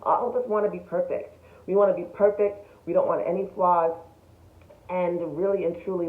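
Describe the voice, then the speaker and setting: A woman talking casually and emphatically to viewers in a self-development vlog, often posing rhetorical questions. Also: low-pitched. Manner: emphatic, animated, rhetorical questions, frequent chuckles. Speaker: vlogger. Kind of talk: casual spiritual self-development talk to camera. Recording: roomy echo, thin phone-like sound.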